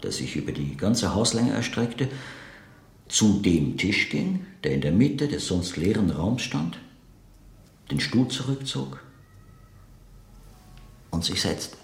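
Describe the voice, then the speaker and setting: A man's voice: fairly low pitch, big pitch swings, takes it slowly, vocal fry, long pauses, echoey room, heavily acted. An actor playing a reflective man in a radio play, searching hesitantly and earnestly for words as he remembers a friend. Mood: reflective, recollecting. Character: reflective man recalling an enigmatic late writer friend. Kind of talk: naturalistic German radio play acting, interview-like monologue.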